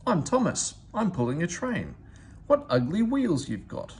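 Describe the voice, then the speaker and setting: A man's voice: sits high, big pitch swings, measured pace, darkish tone, slight background noise, voice pushed up. A man voicing a cheeky, stubborn young steam engine from a children's storybook, huffy and boastful.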